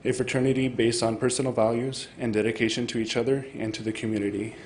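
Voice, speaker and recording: A man's voice: medium pitch, little pitch movement, fast, darkish tone, slight background noise, low-quality recording. A young man from South Dakota, a student, giving a polite, slightly nervous prepared comment to a city council. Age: young adult. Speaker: student fraternity president.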